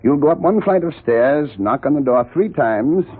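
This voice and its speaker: An actor playing an oily, cowardly, greedy blackmailer in a 1940s radio mystery, unctuous and theatrically polite. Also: male voice, a little high, animated, normal speed, lightly acted. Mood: smug, wheedling. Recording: muffled, tinny 1940s radio recording.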